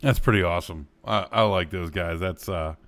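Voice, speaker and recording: Casting note: man with a very low voice, host of a relaxed live stream, loose and rambling delivery. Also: moderate pace, heavy background noise. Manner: rambling, with many fillers. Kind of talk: casual live-stream chat.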